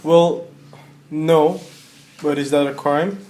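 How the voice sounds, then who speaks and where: An actor playing a fond, self-satisfied middle-aged husband in a radio play, with a mock-pompous, teasing manner. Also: male voice, on the high side, fairly even intonation, slow, a little mellow, a little hiss or hum. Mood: jovial.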